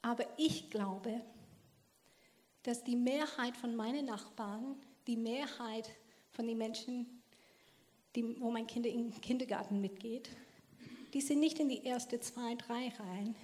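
High-voiced woman, earnest testimony. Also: unhurried, pauses often, a little mellow. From Australia. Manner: earnest and emphatic, in non-native German with loose grammar. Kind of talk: passionate personal testimony talk.

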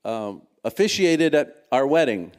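An older man with an American accent preaching as a guest in plain, deliberate short phrases, pausing for an interpreter. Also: mid-range voice, sing-song, clean tone. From United States, Virginia. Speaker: guest speaker at a church. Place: church meeting.

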